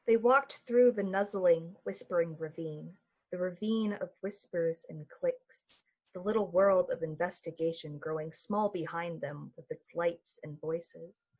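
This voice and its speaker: A woman's voice: fairly low pitch, unhurried, husky, highs cut off. A woman playing the solemn storyteller of an eerie radio play, narrating a scripted tale. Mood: solemn, hushed.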